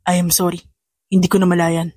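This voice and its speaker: A woman voicing a calm, pensive older male CEO in an audio drama, gentle and courteous. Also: on the low side, brisk, slightly rough, no room sound, clean studio sound, pitched below the performer's own voice.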